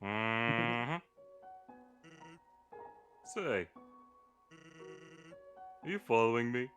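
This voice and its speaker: A man's voice: medium pitch, lively intonation, extremely slow, fairly bright tone, faint music behind. A man co-commentating on a game stream in casual chat.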